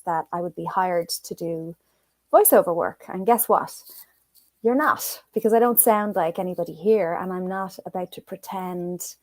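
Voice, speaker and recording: A middle-aged woman with an Irish accent, a coach telling her story as a guest in an animated, expressive way. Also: fairly low pitch, no echo. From Ireland. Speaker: guest, personal development coach. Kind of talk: conversational interview.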